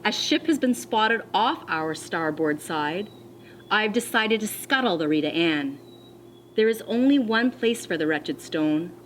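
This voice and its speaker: A woman schoolteacher reading a children's storybook aloud, expressively and deliberately, with dramatic pauses. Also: medium pitch, lively intonation, slight background noise. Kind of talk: read-aloud of a children's storybook with teacher asides.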